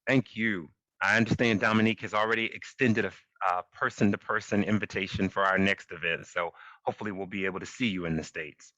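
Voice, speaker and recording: A man's voice: lowish voice, fairly even intonation, a little fast, sharp tone, no echo. A man moderating a virtual panel, friendly and joking as he introduces speakers. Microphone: video call. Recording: dry, dull video-call audio.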